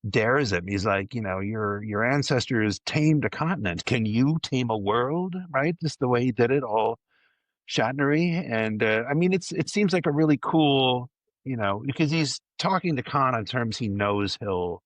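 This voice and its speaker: A man's voice: medium pitch, animated, moderate pace, dry recording. Rambling, enthusiastic man in casual chat. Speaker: podcast panelist.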